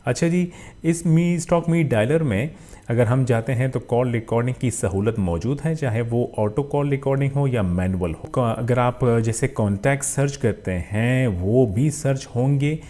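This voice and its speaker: A man with a Pakistani accent hosts a casual phone review. His voice is soft-edged and mellow, sitting at an ordinary male pitch. He leans on filler phrases and drops English tech terms into his explanations. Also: moderate pace. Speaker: tech reviewer and channel host.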